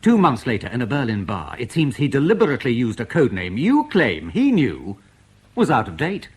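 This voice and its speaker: An actor playing a probing, sceptical intelligence investigator in a radio drama, formal, precise and dryly polite. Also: male voice, medium pitch, wildly swooping pitch, moderate pace, husky. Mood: pressing, sceptical. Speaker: interrogator in a spy drama.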